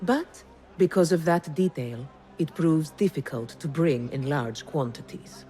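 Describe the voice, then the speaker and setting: Enigmatic game perfumer, deep feminine voice, elegant, courteous, shrewd, faint music. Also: female voice, expressive, measured pace, dark tone. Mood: poised, probing. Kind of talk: dramatic game cutscene acting.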